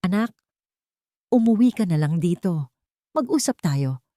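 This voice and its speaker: A woman voicing a sorrowful, guilt-ridden mother in a story, speaking haltingly. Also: lowish voice, lively intonation, dark tone, dry recording, studio quality. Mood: worried.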